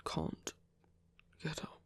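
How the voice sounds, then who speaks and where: A man playing a weary, tender villain laid up in pain, speaking haltingly and softly in an intimate ASMR roleplay. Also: no room sound. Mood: weary, reassuring. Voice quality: breathy, creaky. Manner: hesitant, halting, soft-spoken.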